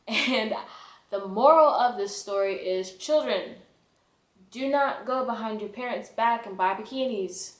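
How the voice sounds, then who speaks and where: A woman's voice: a little high, a little slow. A young woman telling a personal story in a casual vlog style, with a dramatic, emphatic, self-mocking delivery. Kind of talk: animated casual storytelling to camera. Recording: echoey, roomy sound.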